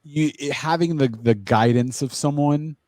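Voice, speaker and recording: A man's voice: on the high side, a little slow, a little mellow, no echo. A man of about forty, a guest on a casual podcast, talking in a chatty, encouraging way with lots of restarts. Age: 40s.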